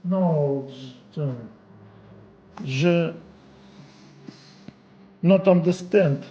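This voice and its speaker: A man's voice: fairly high pitch, extremely slow, mellow, echoey room, muffled recording, a little hiss or hum. A man in his forties with a Ukrainian accent, a boxing coach chatting casually with viewers on a home live stream. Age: 40s. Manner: casual, friendly, answers chat questions off the cuff. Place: room at home.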